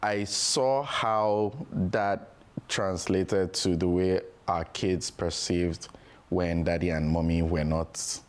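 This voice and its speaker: A man with a very low, deep voice tells his stories in an easy conversational flow. He keeps filling his sentences with "you know" as he thinks aloud. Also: fairly even intonation, slow pace. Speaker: guest father. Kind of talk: candid podcast conversation.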